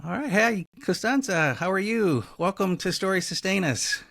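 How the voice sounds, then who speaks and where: A man's voice: high voice, expressive, moderate pace, a bit bright, no echo, clean studio sound. A man speaking as a podcast host, introducing and interviewing guests.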